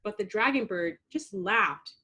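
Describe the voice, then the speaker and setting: A woman reading a children's storybook aloud in an expressive narrating style. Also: mid-range voice, measured pace. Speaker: storybook reader.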